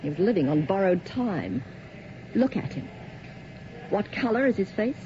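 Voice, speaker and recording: An actress playing a brisk, unsentimental hospital doctor who gives curt commands in a 1980s radio drama. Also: female voice, mid-range voice, very animated, natural voice. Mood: matter-of-fact. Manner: curt, commanding, matter-of-fact. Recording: muffled old radio recording with loud hiss.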